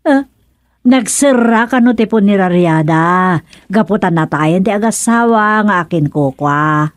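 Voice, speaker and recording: Village mother, played by a woman: practical, plain-spoken, talkative, medium-pitched, radio serial delivery. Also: animated, measured pace, no room sound, studio quality, lightly acted. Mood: explaining. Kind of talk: comedy radio drama acting in Ilocano.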